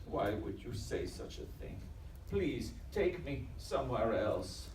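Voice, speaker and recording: A man playing a guilt-ridden, defensive doctor in a live audio drama, with formal, halting, stammered speech. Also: medium pitch, big pitch swings, a little mellow. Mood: shaken, pleading. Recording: echoey live stage, distant, faint noise.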